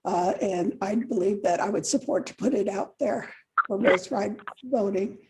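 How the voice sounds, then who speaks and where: A woman candidate answering a forum question over a video call, quiet and soft-spoken, hesitant with restarts. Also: mid-range voice, normal speed, noisy background. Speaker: election candidate.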